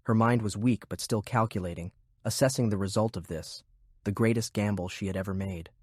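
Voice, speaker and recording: A man's voice: on the low side, fairly quick, a little mellow, dry recording, studio quality. A man reading scripted narration of military fantasy fiction as an audiobook narrator.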